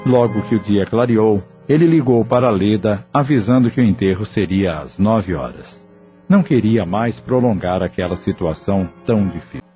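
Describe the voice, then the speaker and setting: Casting: solemn male narrator, low voice, dull recording with faint music. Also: expressive, moderate pace. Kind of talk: scripted audio drama narration.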